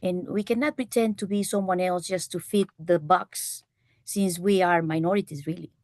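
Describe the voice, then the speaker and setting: A woman whose first language is Spanish speaks with a Costa Rican accent, earnest and sincere. Her voice sits a little low. The sound is dry and close, with no room echo. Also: steady tone, normal speed. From Costa Rica. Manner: earnest, many "eh" fillers. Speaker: panelist, economics faculty. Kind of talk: panel discussion. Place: university event room.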